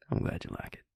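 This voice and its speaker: A man playing a gentle, self-deprecating, protective vampire in an intimate audio roleplay, speaking in a gravelly, breathy voice with a halting, softly teasing delivery. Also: no echo, lightly acted. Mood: warm, teasing.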